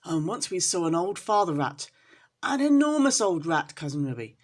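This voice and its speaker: A woman's voice: on the low side, big pitch swings, normal speed. A woman voicing an anxious, tearful mother cat in a children's storybook, lamenting in a distressed way. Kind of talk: children's storybook character reading.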